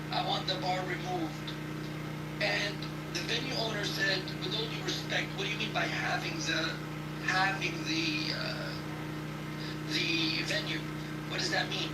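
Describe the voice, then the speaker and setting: A man who lectures in neuroscience, speaking haltingly with lots of fillers in a casual call-in discussion, on distant, echoey, noisy audio. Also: high-pitched. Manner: halting, heavy with fillers and restarts. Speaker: university lecturer in cognitive neuroscience, guest speaker.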